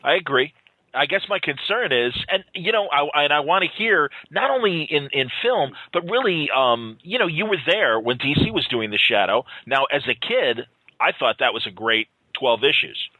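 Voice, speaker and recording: Bright-voiced man hosting a casual interview. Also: on the high side, lively intonation, moderate pace, no echo, low-quality recording. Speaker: podcast host, interviewer.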